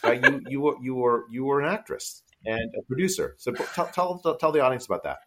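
A middle-aged man hosting a podcast interview, talking in a halting way with many restarts. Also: medium pitch, lively intonation. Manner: halting, full of restarts and fillers. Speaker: podcast host, professor. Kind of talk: conversational interview.

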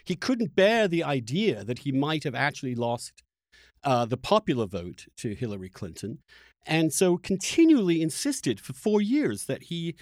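Insistent man debating with swooping pitch. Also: huge pitch swings, normal speed, no room sound, clean studio sound. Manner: combative, insistent, presses with pointed questions. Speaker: podcast host and interviewer. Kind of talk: heated political debate.

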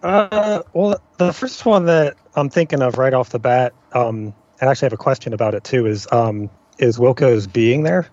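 Male podcast guest, medium pitch, tentative, thinking aloud, casual, muffled lo-fi recording. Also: moderate pace, hardly any pauses, a little mellow, dry recording. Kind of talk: casual music discussion. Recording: dry, dull lo-fi remote audio.

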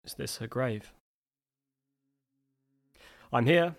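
A man playing a lonely, grieving man in an audio play, speaking a halting, introspective monologue. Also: medium pitch, gravelly. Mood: forlorn. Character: grieving, lonely man questioning himself at a grave.

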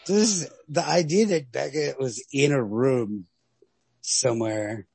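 A man co-hosts a casual literary discussion, talking in an animated, sweary way. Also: medium pitch, measured pace, creaky, slightly rough, no echo. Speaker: podcast co-host.

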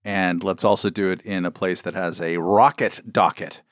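A man co-hosting a podcast, talking in a halting, dry way full of fillers. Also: deep voice, steady tone, no echo. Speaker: podcast co-host. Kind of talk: casual political chat.

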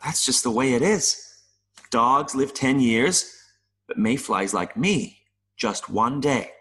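A man playing an adult male mayfly in an audio play, nervous and earnest, pleading haltingly with little buzzing sounds. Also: medium pitch, expressive, a bit bright. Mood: resigned, earnest. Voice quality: buzzing interjections between phrases.

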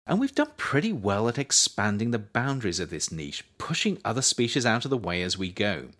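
A man reading his own scripted non-fiction as narrator, with measured, articulate, emphatic phrasing. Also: lowish voice, very animated, a bit bright. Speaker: author reading own book as audiobook podcast.

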